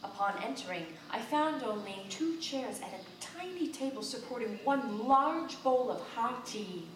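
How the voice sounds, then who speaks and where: A woman's voice: fairly high pitch, animated, a little slow. Distant, echoing woman reading dramatic fiction. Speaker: stage reader. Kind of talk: dramatic literary narration. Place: live theatre performance. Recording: echoey theatre, distant mic, noisy.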